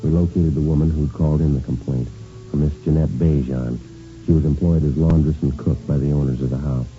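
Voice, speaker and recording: An actor playing a terse, deadpan police detective who narrates in clipped, matter-of-fact fashion in a 1950s radio drama. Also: male voice, very deep voice, brisk. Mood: deadpan narration. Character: terse, businesslike male police detective sergeant. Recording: muffled vintage radio recording, music underneath.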